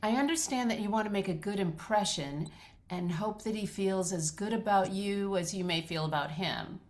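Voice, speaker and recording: An actress reading a script as a patient, supportive therapist, speaking in a measured, gentle, professional way. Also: female voice, mid-range voice, natural voice. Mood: measured, reassuring. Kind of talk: dramatic script read.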